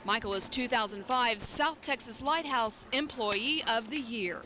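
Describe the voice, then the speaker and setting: A woman's voice: on the high side, a little slow, bright, forceful tone, slight background noise. A woman reading scripted narration as a news feature reporter.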